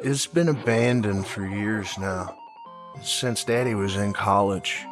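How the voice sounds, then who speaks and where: A man's voice: on the low side, takes it slowly, music in the background. A man playing a reluctant, dismissive teenage older brother in a horror story, curt and uninterested.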